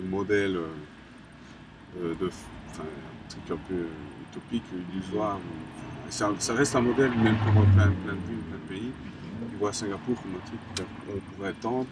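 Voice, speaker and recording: A young man from Colombia, an interviewee, talking casually in halting phrases full of restarts and fillers. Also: low-pitched. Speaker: graffiti artist, interviewee. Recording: distant mic with background noise.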